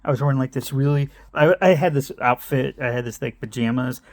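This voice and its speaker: A man's voice: mid-range voice, a little fast, darkish tone, dry recording. A middle-aged man telling a meandering personal story, interrupting himself and laughing at his own tangents. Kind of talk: rambling personal anecdote.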